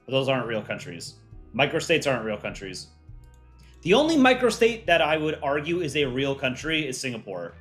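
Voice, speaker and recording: A man's voice: mid-range voice, big pitch swings, a little fast, a bit bright, soft background music. A man hosting an art contest on a livestream, reading out viewer chat and answering it offhand in casual talk.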